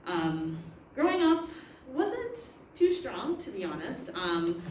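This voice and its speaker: A woman's voice: mid-range voice, sing-song, slow. A young woman from Canada, moderating a casual panel talk in a reflective way and laughing often. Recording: echoey room, far from mic, noisy.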